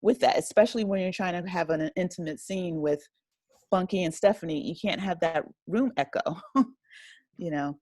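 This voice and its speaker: A woman's voice: on the low side, moderate pace, darkish tone, no echo, clean studio sound. A woman speaking casually as an interview guest, modest and self-deprecating, laughing easily. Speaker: interview guest, audio drama writer and director.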